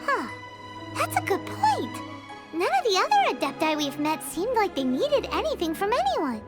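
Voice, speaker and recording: A woman voicing a tiny, childlike, chirpy fairy companion in an English video game dub, squeaky and inquisitive. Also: wildly swooping pitch, normal speed, full character voice. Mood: curious, perky. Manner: chirpy, exclamatory, speaks of herself in third person. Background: tinkling game music.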